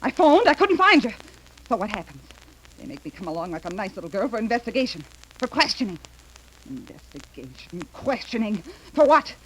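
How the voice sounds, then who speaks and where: An actress playing an imperious, sharp-tongued young scheming wife in 1930s radio melodrama, demanding and indignant. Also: female voice, mid-range voice, big pitch swings, brisk, slightly rough. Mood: furious. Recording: noisy, crackly 1930s radio recording.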